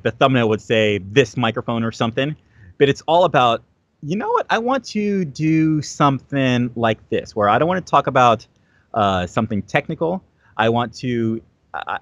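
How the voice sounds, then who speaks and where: A man's voice: medium pitch, a bit bright, dry recording, muffled recording. A man talking as a guest in a casual interview, rambling and repeating his words with many fillers. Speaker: guest content creator.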